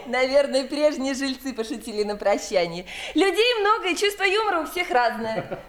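A caring, anxious mother in a children's audio fairy tale. She speaks high and expressively, with plenty of movement in the pitch. Her voice carries a clear echo. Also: normal speed. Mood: light, reassuring. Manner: expressive, fretful. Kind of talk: children's audio play acting. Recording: echoey studio reverb.

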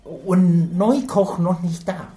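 An actor playing a frightened, evasive waiter, speaking halting broken German in short replies in a radio crime drama. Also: male voice, high voice, mellow, echoey room. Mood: timid, evasive. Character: frightened, evasive Chinese restaurant head waiter.

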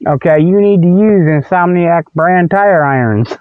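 High-pitched folksy man, dull lo-fi sound. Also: a little slow, clean tone, dry recording. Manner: folksy, rambling, jokey. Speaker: comedy radio show host. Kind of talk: ad-libbed spoof announcements and mock ads. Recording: dull, dry lo-fi audio.

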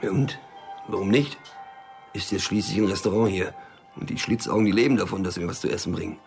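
An actor playing a shady, world-weary small-time smuggler in a crime radio drama, with a dry, colloquial manner that is wary and sardonic. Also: male voice, deep voice, fast talker, gravelly, darkish tone, faint music behind.

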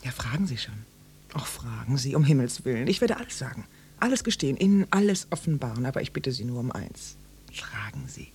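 Apologetic, yearning housewife for radio drama: very breathy, hesitant, animated pitch movement. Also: female voice, fairly quick, full character voice. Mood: pleading. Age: middle-aged. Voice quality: breathy, often half-whispered. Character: timid, yearning housewife in her mid-thirties. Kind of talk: German radio play acting.

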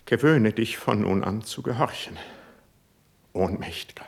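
A proud, wounded poet declaims solemn verse in a classical radio play. The voice is husky and fairly low, very slow and halting, weighted with feeling. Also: male voice, full character voice. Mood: bitter resignation. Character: proud, wounded poet submitting bitterly to his prince.

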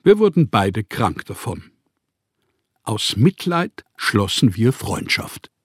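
An actor playing a melancholy, self-mocking male novelist in a radio play, with a laconic, deadpan delivery. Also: fairly low pitch, slow, slightly rough, no room sound, heavily acted. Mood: wry, melancholy.

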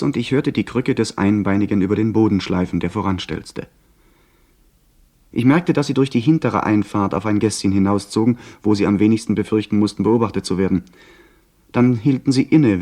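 Casting: male actor as young gentleman storyteller for radio drama, lowish voice, scripted dramatic narration. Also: close to an everyday voice. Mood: tense storytelling. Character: young gentleman narrator recounting his abduction by pirates.

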